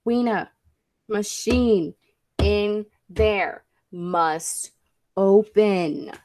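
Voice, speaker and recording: A woman's voice: mid-range voice, extremely slow, darkish tone, dry recording. A woman playing a suspicious, hot-tempered male time traveller in a radio play, with an urgent, demanding manner. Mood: angry, demanding. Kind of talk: 1940s radio play acting.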